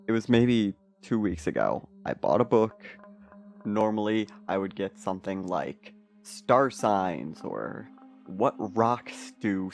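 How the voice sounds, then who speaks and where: A troubled, pessimistic man played by a male actor delivers an audio fiction confession. His voice sits low and moves very slowly, halting and hesitating, with soft music behind it.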